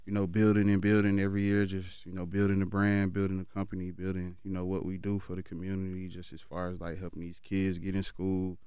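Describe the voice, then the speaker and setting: A man in his thirties from Florida, a football trainer, talking casually as an interview guest in a laid-back way that trails off into low murmurs. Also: completely flat delivery, a little fast, few pauses, warm tone, clean tone, no room sound, clean studio sound. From Fort Lauderdale, Florida.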